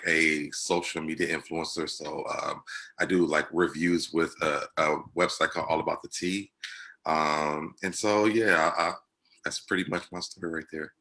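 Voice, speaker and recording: A man introducing himself as a podcaster on a video call, halting with many fillers. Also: sits low, sharp tone. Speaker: podcaster guest. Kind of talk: casual video-call chat.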